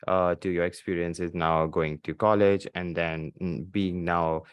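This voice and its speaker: A man from India hosts a podcast conversation in a low, deep voice. He asks long, considered questions. The sound is dry and close. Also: level tone, measured pace, clean tone, no room sound. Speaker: podcast host. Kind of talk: conversational interview.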